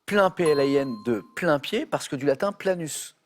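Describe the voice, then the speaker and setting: A man's voice: fairly high pitch, animated, normal speed, slight background noise. A man hosting a television quiz show in a studio, playful and chatty with the contestants.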